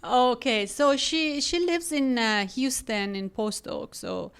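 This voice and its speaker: A woman's voice: on the high side, slow pace, clear, resonant tone. A woman from Iran with an Iranian accent, speaking conversationally as an interview guest about her work. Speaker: podcast guest, real estate agent.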